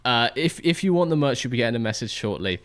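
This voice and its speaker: A man's voice: medium pitch, lively intonation, fast, a bit bright, smooth. A man hosting a livestream and casually interviewing racers after an event. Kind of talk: casual post-race interview.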